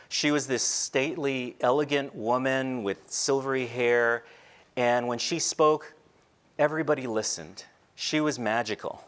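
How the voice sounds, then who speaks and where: Middle-aged man telling stories on stage. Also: medium pitch. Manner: reflective storyteller, shifts between soft emotional beats and light humor. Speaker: teacher giving a talk. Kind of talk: storytelling keynote talk. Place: large stage before a seated audience, indoors. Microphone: headset mic.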